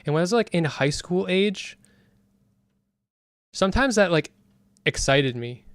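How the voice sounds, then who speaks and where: Blunt man, smooth voice. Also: high-pitched, expressive, measured pace, no room sound, studio quality. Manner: blunt and opinionated. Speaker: discussion guest. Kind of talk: casual debate chat.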